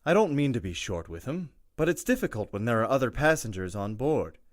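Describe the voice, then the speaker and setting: An actor playing a calm, decent train conductor who speaks in a measured, thoughtful way. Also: male voice, mid-range voice, close to an everyday voice.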